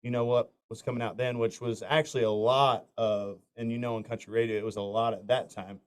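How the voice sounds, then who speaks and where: A man from north Florida tells stories at an easy, conversational pitch. His voice is smooth and resonant. He rambles through anecdotes, restarting phrases and dropping in plenty of "um"s and "you know"s. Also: little pitch movement, brisk. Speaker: country singer, interview guest. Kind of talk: casual storytelling interview.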